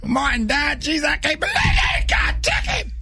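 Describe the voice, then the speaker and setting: A man's voice: very high-pitched, huge pitch swings, normal speed, pushed and bright, raised voice, faint music behind, exaggerated voice. A man voicing a sassy older woman receptionist on a prank phone call, blunt and cussing. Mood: brash. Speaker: prank caller.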